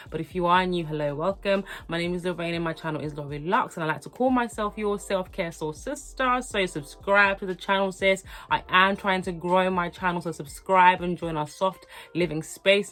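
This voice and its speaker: A young woman, hoarse from a cold, talking earnestly and encouragingly to her viewers in a motivational vlog. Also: lowish voice, fairly bright tone. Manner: earnest, encouraging, repetitive emphasis. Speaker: lifestyle vlogger. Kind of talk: motivational talk to camera.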